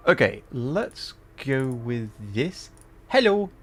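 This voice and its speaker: A man's voice: medium pitch, sing-song, slow. A man hosting a casual gaming livestream, chatting with a wry, self-deprecating manner. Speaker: livestreamer host.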